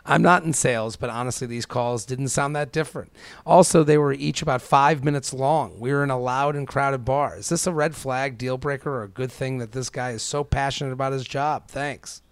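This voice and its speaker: A man's voice: mid-range voice, fairly quick, dry recording. A man co-hosting a podcast in an informal style, chatting and reading out listener mail. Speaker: podcast co-host. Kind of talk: casual podcast banter, reading listener emails aloud.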